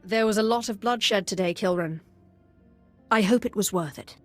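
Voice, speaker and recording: A woman playing a commanding Sith agent in a science fiction game, controlled, pragmatic and authoritative, with a medium-pitched voice. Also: moderate pace, no echo, faint music behind, close to an everyday voice. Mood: composed.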